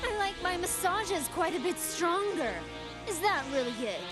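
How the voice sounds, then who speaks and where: A sadistic android villainess, high-pitched and haughty. Her taunts swing up and down with smug theatrical cruelty. Music plays behind this anime game voice. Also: animated, moderate pace. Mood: smug, taunting. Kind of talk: English anime video game voice acting. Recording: loud music under the voice.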